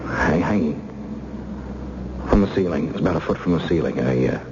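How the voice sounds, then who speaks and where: Deep-voiced, stubborn captive husband. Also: male voice. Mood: uneasy dread. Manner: tense, halting, stubbornly protective of his wife. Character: defiant captive husband, secretive government worker under threat. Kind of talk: old-time radio thriller acting. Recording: muffled, noisy old radio recording.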